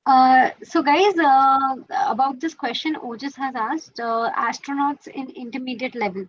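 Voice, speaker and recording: A woman's voice: high-pitched, unhurried, lo-fi sound, a little hiss or hum. A woman with an Indian accent explaining quiz rules to students on a webinar, mixing Hindi into English with many fillers. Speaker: quiz organizer teaching students. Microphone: video call.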